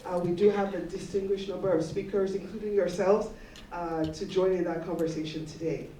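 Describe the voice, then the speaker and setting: A woman's voice: on the low side, steady tone, fairly quick, dark tone. A woman co-facilitator giving welcoming opening remarks to a group. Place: indoor breakfast roundtable session. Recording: echoey room, distant mic, faint noise.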